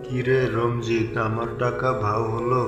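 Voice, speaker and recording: A man voicing a stern, bossy village headman who speaks slowly and curtly. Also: medium pitch, flat delivery, dull audio, natural voice. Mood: harsh. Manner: curt, threatening, slow. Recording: echoey room with music behind.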